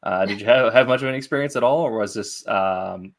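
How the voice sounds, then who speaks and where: A man's voice: lowish voice, a little fast. A man hosting an interview in a casual, conversational way, asking rambling, long-winded questions. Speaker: interview host.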